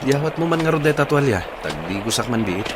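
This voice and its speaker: An actor playing a devoted, long-suffering young boyfriend in a radio drama, earnest and yielding, with an Ilocano accent. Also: male voice, mid-range voice, very animated, a little fast, background noise, natural voice. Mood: patient, yielding.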